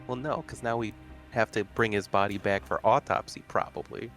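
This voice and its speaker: A man's voice: fairly low pitch, normal speed, a bit bright. A man playing a tabletop game, trading casual in-character banter with a wry manner. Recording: music playing underneath. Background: music.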